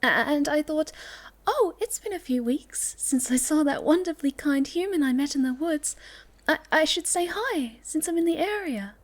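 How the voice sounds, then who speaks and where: Woman playing a high-voiced, shy, sweet moth girl. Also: animated, moderate pace. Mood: shy, nervous. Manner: hesitant, stammering, polite, soft-spoken. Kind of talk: ASMR roleplay acting.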